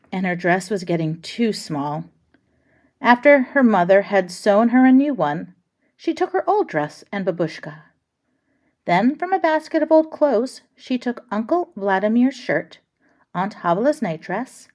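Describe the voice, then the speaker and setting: A woman reads a children's picture book aloud at a middle pitch. She phrases each line deliberately and with expression, in the style of a storybook read-aloud.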